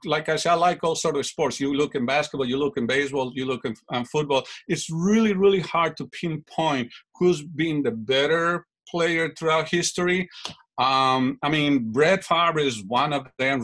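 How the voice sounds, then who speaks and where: A man's voice: a little high, a bit bright. A middle-aged man from Mexico, telling long rambling stories as an interview guest. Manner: rambling, self-correcting storyteller. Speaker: guest fan. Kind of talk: casual interview answers.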